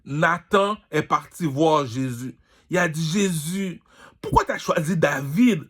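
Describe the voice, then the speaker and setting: A man's voice: fairly high pitch, expressive, takes it slowly, a bit bright, husky. A man delivering an informal rant, boastful and slangy, repeating himself and firing off emphatic rhetorical questions. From Canada, immigrated at age five. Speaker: singer and vlogger.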